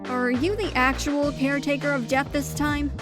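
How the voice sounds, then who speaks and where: Woman playing a high-voiced, earnest young goat girl. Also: flat delivery, moderate pace, loud music behind the voice, natural voice. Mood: confused. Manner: hesitant, pleading, polite. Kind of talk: fantasy audio drama acting.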